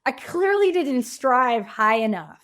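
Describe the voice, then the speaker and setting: A woman's voice: high-pitched, big pitch swings, slow, a bit bright. A middle-aged woman answering as a podcast guest in a conversational, emphatic way, using vivid examples to make her points. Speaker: podcast guest, pivot coach.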